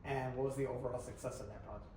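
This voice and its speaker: A man's voice: mid-range voice, steady tone, very fast, dark tone, slight background noise. A man on a student panel, casually asking a candidate a question. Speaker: panel member. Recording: very echoey room, from far off.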